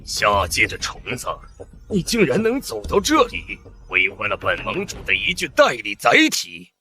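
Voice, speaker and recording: A man voicing an arrogant, godlike alien overlord in an animated comic drama, pompous, contemptuous and menacing. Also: mid-range voice, moderate pace. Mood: haughty contempt.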